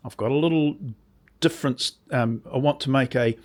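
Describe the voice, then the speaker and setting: A man with a slightly croaky voice, casually demonstrating a workshop project and often restarting his sentences as he explains. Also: medium pitch, moderate pace. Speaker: woodworking channel host.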